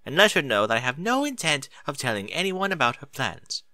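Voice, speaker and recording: A man's voice: mid-range voice, sing-song, a little fast, pushed and bright, no echo, voice pushed up. A man voicing a refined, dramatic lady unicorn pony with genteel, flowery phrasing in a storybook reading. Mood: discreet.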